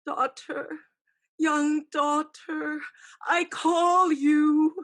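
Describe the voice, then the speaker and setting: A woman playing an eerie ancestral spirit who is secretly a scheming sorceress, calling in a drawn-out, incantatory way in a staged reading. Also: sits high, flat delivery. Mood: solemn, summoning.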